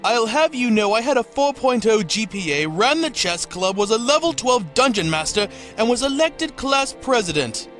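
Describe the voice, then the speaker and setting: A man's voice: very high-pitched, big pitch swings, normal speed, few pauses, fairly bright tone, heavily acted. A man playing a whiny, prissy young lawyer in a comedic cartoon parody, prim and easily indignant. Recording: music behind the voice.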